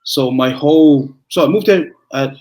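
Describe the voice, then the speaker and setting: Young Tongan-born pastor, halting speech. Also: male voice, fairly high pitch, a little mellow. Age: young adult. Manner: halting, many restarts and fillers. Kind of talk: conversational interview.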